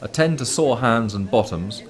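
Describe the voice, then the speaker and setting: A man reading a scripted documentary commentary in a formal, measured way. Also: mid-range voice, lively intonation, background noise. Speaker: narrator.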